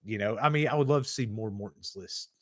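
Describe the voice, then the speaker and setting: A man with a low, deep-set voice co-hosts a relaxed livestream conversation. He rambles along with plenty of fillers and a laid-back ease. The sound is dry and close, with no room around it. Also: fairly quick, no echo. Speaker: podcast co-host. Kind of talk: casual livestream chat.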